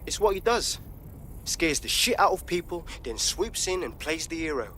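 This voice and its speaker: Street hacker, slangy, bright voice. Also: male voice, a little high, big pitch swings, moderate pace, slight background noise. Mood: blunt. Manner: blunt slangy talk. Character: streetwise male London hacker leader.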